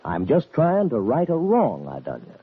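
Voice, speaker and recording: An actor playing a scheming Western villain in an old-time radio drama, talking in a folksy, falsely fair drawl. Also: male voice, mid-range voice, wildly swooping pitch, moderate pace, slightly rough, exaggerated voice. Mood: smooth, persuasive. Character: hard, scheming Western villain, a fatherly-sounding ranch boss. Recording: tinny, band-limited old radio recording.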